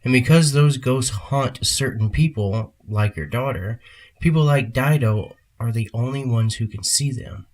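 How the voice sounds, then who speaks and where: A man's voice: mid-range voice, a little slow, darkish tone, lightly acted. A man playing a stern, commanding boss in an audio drama. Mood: insistent. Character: stern male boss ordering a scientist around.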